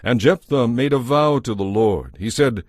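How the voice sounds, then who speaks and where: A man narrating a dramatized scripture audiobook from a script, in a measured, formal manner. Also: mid-range voice, expressive, a little mellow, no echo, clean studio sound.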